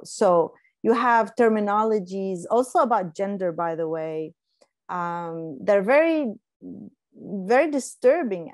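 A woman whose first language is Arabic, speaking as a panelist on a video call, reflective and pausing often with fillers. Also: mid-range voice, a little mellow, no room sound. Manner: reflective, pauses with many fillers.

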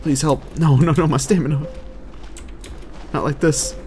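A man giving casual, animated, exclamatory gaming commentary with plenty of swearing. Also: on the high side, normal speed. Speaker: let's play streamer. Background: video game music and effects.

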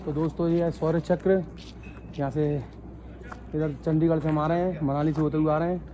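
A man's voice: fairly high pitch, flat delivery, a little fast, dark tone, a little hiss or hum. A man vlogging from the roadside in a cold mountain town, chatting to viewers and reading out road distances, slightly unwell from the altitude. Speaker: travel vlogger. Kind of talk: casual travel vlog chat. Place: outdoors by a roadside sign in cold mountain sunlight. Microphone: phone camera at arm's length.

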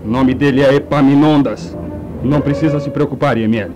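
A man's voice: medium pitch, fairly quick, lo-fi sound, music in the background, close to an everyday voice. A man playing a haughty, commanding mad doctor in a comic radio play. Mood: touchy, smug. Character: imperious mad scientist doctor, proud of his creature.

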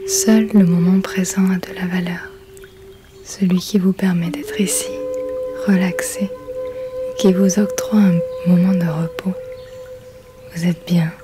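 Slow whispering woman guiding sleep hypnosis. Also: lowish voice, fairly even intonation, over music. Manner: whispered, breathy, soothing delivery. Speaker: relaxation hypnosis guide. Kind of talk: ASMR guided sleep hypnosis, yoga nidra.

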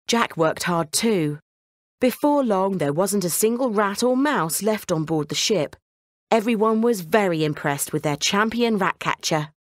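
A woman narrating a scripted children's bedtime story in an expressive storytelling manner. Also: fairly low pitch, no echo, clean studio sound.

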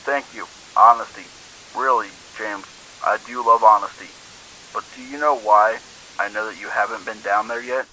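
A smug night-shift boss in a horror story acted by a man, needling and condescending. The voice is very bright and forceful, with a low pitch and a faint hiss behind it. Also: normal speed, rough recording. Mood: mocking aggravation. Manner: mocking, condescending, increasingly aggravated.

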